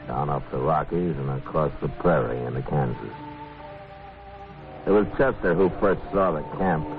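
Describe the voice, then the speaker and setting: An actor playing a stoic, watchful frontier U.S. Marshal with an American accent, terse and steady, in a radio western. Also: male voice, very deep voice. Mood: measured, reflective. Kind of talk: 1950s radio western acting and narration. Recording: narrow, muffled 1950s radio recording.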